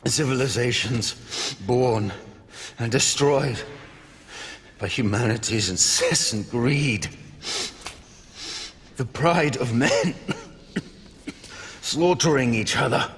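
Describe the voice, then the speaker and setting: A man's voice: medium pitch, a bit bright, full character voice. An actor playing a weary, bitter, long-lived cursed man in a video game drama, weakened and solemn. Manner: slow, world-weary, solemn. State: weak, coughing. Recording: long hall reverb, clear background noise.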